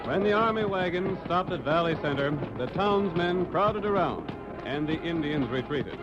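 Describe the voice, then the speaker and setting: A man's voice: a little high, expressive, normal speed, close to an everyday voice. A man with an American accent narrating an old radio western in a dramatic, scripted style. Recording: dull vintage radio recording, music behind.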